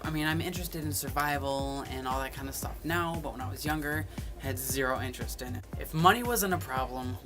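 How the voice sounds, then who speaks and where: A young man in his twenties chatting casually to camera, reading questions aloud and answering them, recorded on a phone. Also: mid-range voice. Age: 20s. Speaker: vlogger.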